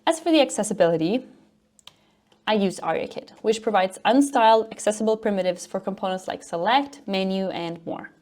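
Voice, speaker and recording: A woman's voice: mid-range voice, brisk, darkish tone. Woman giving a tech talk. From Norway. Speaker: conference speaker, front-end developer and educator. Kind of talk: technical conference presentation with demo.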